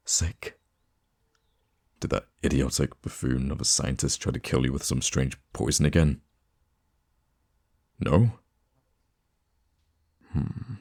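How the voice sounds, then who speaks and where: A man playing a theatrical, easily flustered supervillain with a soft spot for his hero nemesis, in an ASMR roleplay monologue with a gravelly voice. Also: a bass voice, full character voice. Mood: incredulous. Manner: dramatic, flustered, teasing, stammering when thrown off.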